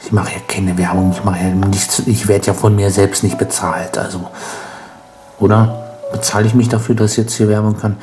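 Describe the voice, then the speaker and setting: A man's voice: on the low side, little pitch movement, moderate pace, music in the background. A man with a cold, sounding stuffy, rambling wryly as he hosts a casual gameplay commentary. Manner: rambling, self-mocking, wry. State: congested with a cold. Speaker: let's play host.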